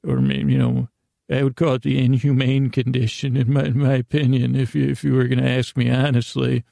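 A man hosting a podcast in a meandering, halting bedtime monologue, repeating words and drifting into tangents. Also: medium pitch, level tone, dark tone, no room sound, studio quality. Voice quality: gravelly, creaky. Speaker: podcast host. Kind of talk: rambling bedtime monologue for sleepless listeners.

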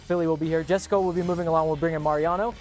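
Male sports talk host, slightly high voice, emphatic and opinionated, faint music underneath. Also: steady tone, rapid-fire. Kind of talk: casual sports show commentary.

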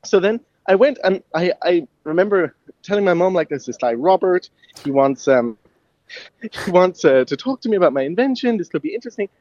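A man's voice: high-pitched, lively intonation, a little fast, a little mellow, no room sound, muffled recording. A young man from Ireland, a guest inventor in a radio interview, talking eagerly and laughing easily. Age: young adult.

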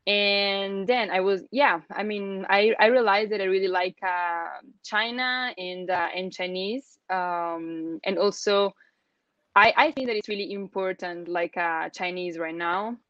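A young woman with an Italian accent, chatting as an interview guest, full of "like" and restarts and quick laughs. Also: medium pitch, dry recording, dull audio. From Italy. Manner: chatty, many fillers and restarts, laughs often. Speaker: guest, email account manager. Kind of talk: casual interview chat.

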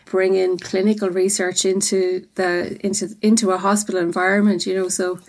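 A middle-aged woman, hosting an interview. She talks in a chatty, informal way, with a voice of medium pitch for her sex. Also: little pitch movement, moderate pace. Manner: chatty, informal, prone to laughing. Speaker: podcast host, CEO. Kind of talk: conversational interview.

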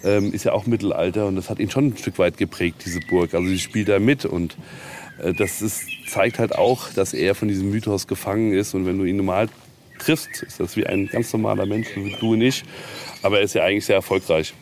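Low-voiced, enthusiastic man explaining. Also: steady tone, a little fast, a little mellow. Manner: enthusiastic, rambling. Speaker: castle tenant and event organizer, interviewee. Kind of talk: informal on-site interview, explaining at length. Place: outdoors at a castle ruin. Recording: outdoor field recording with faint background noise.

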